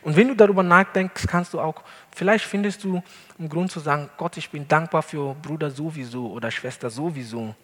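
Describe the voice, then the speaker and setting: A male pastor, not a native German speaker, preaching an earnest sermon in a church hall with deliberate emphasis. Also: a little high, fairly bright tone. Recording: long hall reverb.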